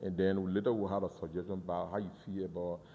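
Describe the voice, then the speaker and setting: A man guiding a museum tour, earnest and halting, often repeating and restarting words. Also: low-pitched, level tone, mellow, big-room sound. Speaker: museum guide. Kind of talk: guided tour interview.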